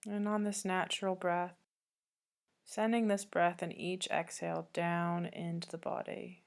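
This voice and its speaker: A woman yoga teacher leading a guided meditation, speaking calmly and gently with long pauses between soothing instructions. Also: on the low side, creaky.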